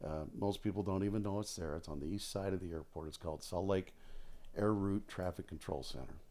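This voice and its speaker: Deep-voiced older American man telling stories. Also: brisk, mellow. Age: 60s. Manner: emphatic, repeats phrases for stress. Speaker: podcast guest, retired air traffic controller. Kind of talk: casual conversational storytelling.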